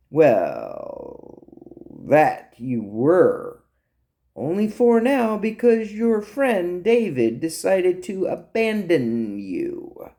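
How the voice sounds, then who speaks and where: A man's voice: high-pitched, dark tone, voice pushed up, full character voice. A man voicing a captive island mercenary in an audiobook story, pompous, mocking and grandiose. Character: sneering male island mercenary, theatrical villainous captive.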